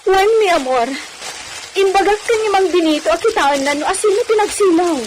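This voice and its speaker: An actress with an Ilocano accent playing an anxious, devoted wife in a radio comedy-drama, with a pleading, exclaiming manner. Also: female voice, very high voice, lively intonation, brisk, slight background noise, low-quality recording. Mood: alarmed, worried.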